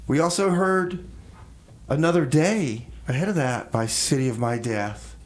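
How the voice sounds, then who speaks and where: A man hosting a casual live radio interview, speaking haltingly and repeating words as he goes. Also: fairly high pitch. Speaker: radio show host. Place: radio studio.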